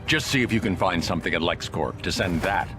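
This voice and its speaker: An actor playing a grim, terse superhero vigilante in an animated video game. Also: male voice, low-pitched, a little fast. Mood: stern. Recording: loud music behind.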